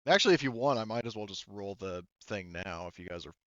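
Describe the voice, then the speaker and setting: Dude game mastering, smooth voice, kinda muffled dry mic, thinks out loud and stops a lot. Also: male voice, fairly low pitch, animated, dry recording. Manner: halting, thinking aloud while rolling dice. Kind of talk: casual tabletop game narration with rules talk.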